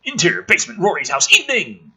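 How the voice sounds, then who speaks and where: A man's voice: high-pitched, very animated, fast talker, sharp tone, husky. A man sarcastically reading through a film script and commenting on it in a profane, mocking, theatrical way. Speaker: host of a script review show.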